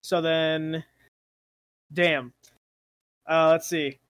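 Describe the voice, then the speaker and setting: Young guy w a high voice thinking out loud, keeps second guessing himself, dry sound. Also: flat delivery, extremely slow, no room sound. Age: young adult. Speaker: guest friend answering questions. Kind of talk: casual chat among friends.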